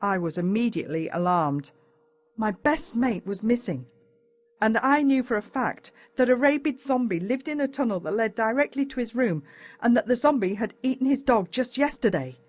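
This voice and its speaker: A woman with an Australian accent narrates a children's story in the first person, in a dramatic, expressive way. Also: on the high side, moderate pace. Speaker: audiobook narrator.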